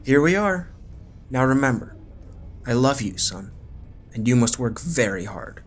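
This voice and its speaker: A man's voice: mid-range voice, very animated, a little slow, soft background music. A man playing a loving father weighed down by guilt in an audio drama. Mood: tender, solemn. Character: loving, guilt-burdened father of a college-bound son. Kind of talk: amateur audio drama acting.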